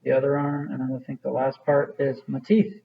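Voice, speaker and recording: A man's voice: medium pitch, monotone, fairly quick, vocal fry, breathy edge, lo-fi sound. A man teaching an art class, walking students through a clay project in a casual, rambling way with many fillers. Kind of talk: classroom demonstration.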